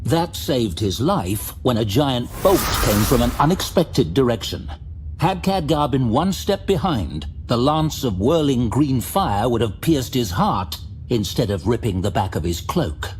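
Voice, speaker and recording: A man's voice: mid-range voice, big pitch swings, measured pace, slight background noise. A man giving a dramatic scripted narration of a fantasy audio drama. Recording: loud dramatic music under the voice. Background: music.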